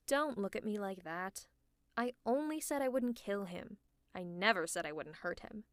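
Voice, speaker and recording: Woman acting as sarcastic female supervillain, ASMR roleplay, mid-pitched, teasing, scolding yet caring, close dry mic. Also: no room sound, lightly acted. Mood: dryly menacing. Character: sardonic female supervillain, gruffly fussing over a sick hero. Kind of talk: ASMR roleplay, one-sided dialogue to the listener.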